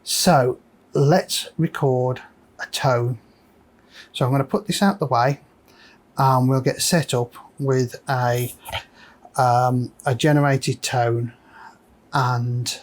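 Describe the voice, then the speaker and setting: A man's voice: medium pitch, fairly even intonation, slow pace, pauses often. A man hosting a casual, unscripted repair walkthrough, thinking aloud hesitantly as he works. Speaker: host of a repair video.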